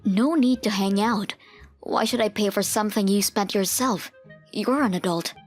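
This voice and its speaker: A woman playing a firm, principled mother in a manga dub, speaking in a stern, measured, matter-of-fact way. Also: mid-range voice, natural voice. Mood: stern, reproachful. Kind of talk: manga dub dialogue and first-person narration. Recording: music behind the voice.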